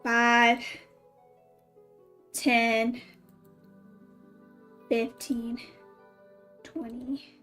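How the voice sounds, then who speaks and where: High-voiced woman streaming a game. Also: monotone, very slow, long pauses, a bit bright, soft background music. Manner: chatty, thinking aloud in short playful remarks. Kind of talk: casual live gameplay chat.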